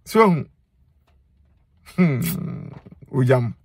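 A man's voice: mid-range voice, lively intonation, extremely slow, a little mellow, husky, dry recording. A middle-aged Ghanaian man speaking Twi, giving earnest, scolding advice straight to his phone camera from inside a car, and repeating lines for emphasis. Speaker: social media commentator. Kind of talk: direct-to-camera advice monologue. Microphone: phone held close.

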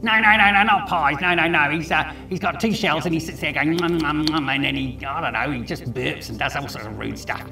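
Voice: mid-range voice, fairly quick, creaky, hardly any pauses, echoey room, recorded from a distance, music in the background, lightly acted. A performer voicing a hungry, scheming sea star in a children's puppet show, hesitant and matter-of-fact.

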